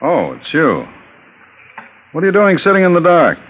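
A sly fake-friendly man in an old radio play, swingy pitch, bit of hiss. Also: wildly swooping pitch, normal speed, fairly bright tone. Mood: coaxing, sly. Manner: coaxing, patronizing. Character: shifty man coaxing his robot helper, falsely friendly. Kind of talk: old-time radio drama acting. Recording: noisy old radio recording.

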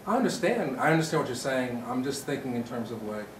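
A man's voice: mid-range voice, big pitch swings, fast talker. Echoey, distant man asking hesitant questions. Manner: hesitant, tentative, rephrasing questions. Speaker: interviewer. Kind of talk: unscripted interview questioning. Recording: echoey, distant mic, noisy background.

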